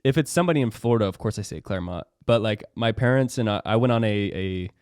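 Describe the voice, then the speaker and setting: Young man, podcast host, low voice, dry close sound, loose chatty delivery. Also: lively intonation, a little mellow, dry recording. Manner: chatty, quick laughs, acts out quotes. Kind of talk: casual chat. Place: studio.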